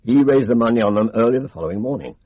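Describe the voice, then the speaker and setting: Gruff no-nonsense british copper, lowish voice, very animated, dull dry old radio play sound. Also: male voice, very fast, dry recording. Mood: briskly confident. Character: bluff, down-to-earth British police inspector, stubbornly confident. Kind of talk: radio drama acting.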